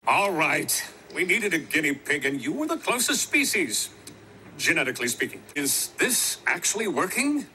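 A man's voice: on the high side, very animated, normal speed, pushed and bright, husky, exaggerated voice. A man voicing a nerdy, smug penguin scientist in a cartoon, speaking with precise wit. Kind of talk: cartoon voice acting.

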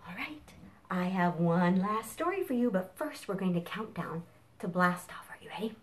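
A middle-aged woman leading an interactive storytime for young children, speaking in an animated, encouraging way with simple instructions. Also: lowish voice, normal speed, slight background noise. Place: home kitchen, indoors. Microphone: camera a few feet away.

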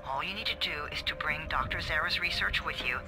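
A man's voice: on the high side, quick pace, over music, lightly acted. An actor playing a manipulative, condescending male agency handler in a video game, coaxing and controlling. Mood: coaxing, controlled.

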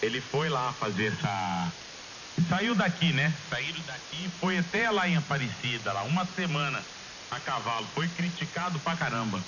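A man's voice: medium pitch, sing-song, moderate pace. Hissy radio, Brazilian man talking casually. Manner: colloquial storytelling, frequent tag questions. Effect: shortwave ham radio transmission. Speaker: amateur radio operator in a group contact. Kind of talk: casual chat over ham radio. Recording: dull shortwave radio with loud hiss.

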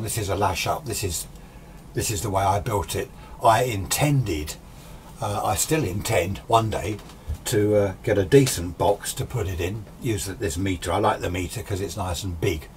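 A British man in his sixties giving an informal technical explanation in a casual, rambling, self-deprecating way, as a hobbyist presenter. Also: sits low. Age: 60s. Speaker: amateur radio hobbyist presenter. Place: small indoor home radio workshop. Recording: steady background noise.

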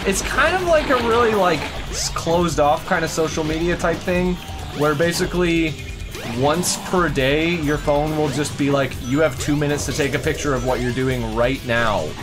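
A man's voice: on the high side, normal speed. A young man chatting casually as co-host of a gaming video, playful and dryly bantering. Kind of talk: casual chat over gameplay. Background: video game music.

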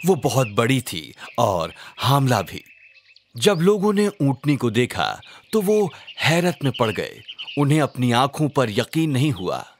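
A man narrating a scripted children's religious story in a measured, dramatic storyteller delivery. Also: mid-range voice, huge pitch swings, a little hiss or hum.

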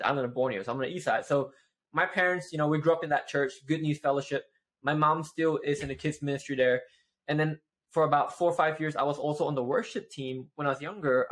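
A man in his twenties from Malaysia, chatting casually as a guest over a video call and talking with his hands. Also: fairly high pitch. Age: 20s. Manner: animated, gestures with both hands, many "right?" tags. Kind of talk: casual interview chat. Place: home office with shelves.

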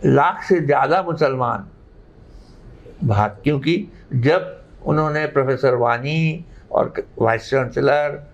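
A man, explaining things haltingly but emphatically as an interview guest. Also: medium pitch. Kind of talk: unscripted interview answers. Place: living room indoors. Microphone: lapel mic. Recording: slightly muffled sound.

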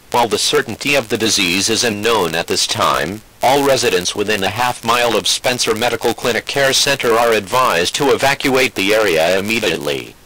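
A man's voice: on the low side, bright, forceful tone, no echo, poor audio. A synthetic-sounding man reading a scripted emergency alert bulletin in a formal, impersonal way. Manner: formal, impersonal, synthetic text-to-speech delivery.

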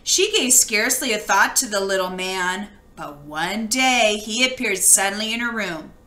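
A woman reading a children's storybook aloud with expressive, dramatic storytelling. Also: medium pitch, moderate pace, echoey room. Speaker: children's book read-aloud reader.

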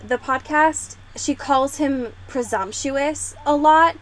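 A woman's voice: high-pitched, slow pace, rough recording. A young woman hosting a podcast in a casual, sweary way, peppering her talk with "like". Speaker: podcast host.

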